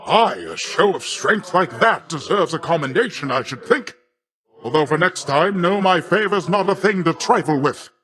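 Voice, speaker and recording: An actor playing a boastful, affectionate older uncle who is a sea god, pompous and theatrical, with grand old-fashioned wording, for a video game. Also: male voice, on the high side, expressive, normal speed, fairly bright tone. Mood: chiding, warning. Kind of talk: video game character voice acting.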